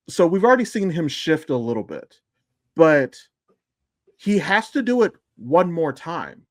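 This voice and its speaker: A man's voice: on the high side, no echo, studio quality. A man co-hosting a podcast with casual, emphatic commentary on shows and films, explaining at length and swearing freely. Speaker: podcast co-host.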